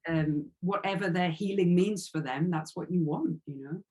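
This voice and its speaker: A woman's voice: fairly low pitch, normal speed, a little mellow. A woman giving a reflective interview as an author, speaking earnestly and tentatively and circling around her phrases.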